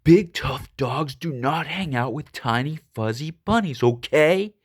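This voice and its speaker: A man's voice: on the high side, animated, measured pace, husky. A man voicing a big, tough storybook dog who barks bossy, indignant orders with emphatic delivery. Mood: exasperated, bossy.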